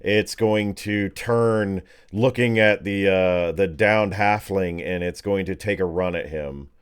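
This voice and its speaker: A man's voice: deep voice, slow, clean tone. A man acting as game master, casually narrating the action of a tabletop fantasy game.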